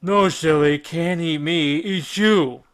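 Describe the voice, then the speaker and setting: Loud, childish brutish cyclops. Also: male voice, a little high, slow pace, clear, resonant tone, pitched above the performer's own voice. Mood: drunk, gloating. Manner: simple broken speech, demanding and blustering. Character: childish, greedy, brutish one-eyed giant cyclops. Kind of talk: audiobook character acting.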